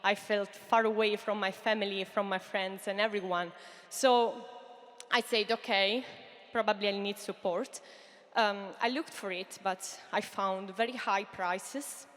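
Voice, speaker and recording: Italian-accented woman pitching on stage. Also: steady tone, measured pace, fairly bright tone. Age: 30s. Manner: earnest, addresses the audience directly with frequent fillers. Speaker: startup founder giving a stage pitch. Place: large hall stage. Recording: long hall reverb.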